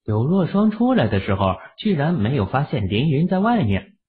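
A man narrating a serialized audio drama in an expressive storytelling manner. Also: a little high, moderate pace. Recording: tinny phone-like audio.

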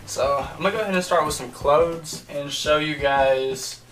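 A young man co-hosting a casual couple's vlog from a bedroom, chatting to viewers in a relaxed, laid-back way. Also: a little high, normal speed, recorded from a distance, noisy background. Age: young adult. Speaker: vlog co-host. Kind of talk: casual chat. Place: bedroom, indoors.

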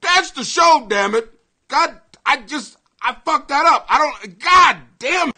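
A middle-aged American man hosting a comedy podcast in a brash, profane, excitable rant that often rises to shouting. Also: very high voice, slow. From Greenwich, Connecticut, United States. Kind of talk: ranting comedy podcast monologue.

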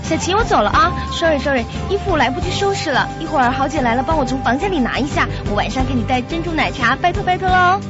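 Radio drama casting: fashionable, carefree young secretary actress, high-pitched, breezy, on a dry, slightly dull recording. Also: female voice, expressive, quick pace, few pauses, over loud music. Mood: hurried, pleading. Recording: dull lo-fi audio.

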